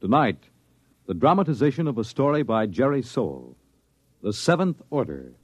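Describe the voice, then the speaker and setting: A man's voice: mid-range voice, very animated, moderate pace. Dramatic, widely swooping male radio announcer. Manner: dramatic, formal delivery. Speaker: announcer and narrator. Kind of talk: 1950s radio drama narration. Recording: dull vintage radio recording. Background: music.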